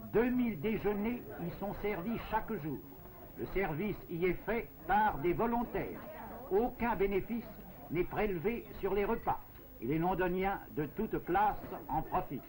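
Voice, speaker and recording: A man delivering formal, measured, scripted narration in the declamatory style of a 1940s propaganda film. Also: high voice, lively intonation, a crowd in the background, poor audio. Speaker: newsreel narrator. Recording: 1940s film soundtrack with music. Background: music.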